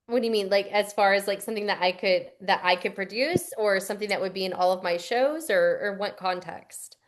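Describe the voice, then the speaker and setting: A woman's voice: mid-range voice, fairly quick. A young adult woman, a voice actress answering interview questions in a chatty, rambling way with fillers and restarts. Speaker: voice actress guest.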